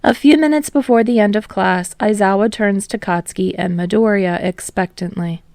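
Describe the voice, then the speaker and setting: Lady reading fan fiction, mid pitch, lots of creak, no room echo at all. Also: moderate pace, a little mellow, studio quality. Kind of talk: scripted story narration.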